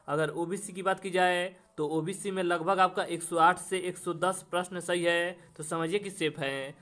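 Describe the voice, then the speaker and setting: A man's voice: high voice, fairly bright tone. A man hosting a coaching channel, explaining exam information step by step and addressing students directly in a repetitive way. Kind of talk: explanatory exam update presentation.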